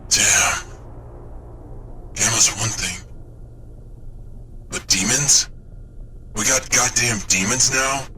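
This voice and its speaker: An actor playing a skeptical, blunt crew chief in a dramatic sci-fi game cutscene. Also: male voice, on the low side, slow pace, long pauses, sharp tone, a little hiss or hum, exaggerated voice. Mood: incredulous.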